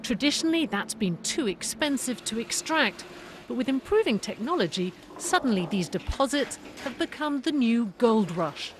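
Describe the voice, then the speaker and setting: A woman presenting a television business programme in a clear, measured, emphatic broadcast style. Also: fairly high pitch, lively intonation, slight background noise. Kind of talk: broadcast presenting, scripted narration and panel introductions.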